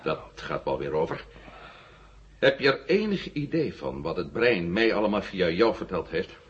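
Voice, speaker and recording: A man's voice: deep voice, very animated, moderate pace. A man playing a tough, brusque astronaut hero in a science fiction radio drama. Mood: grim, explaining. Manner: brusque, commanding. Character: tough, hot-headed male astronaut hero. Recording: dull, noisy old radio recording.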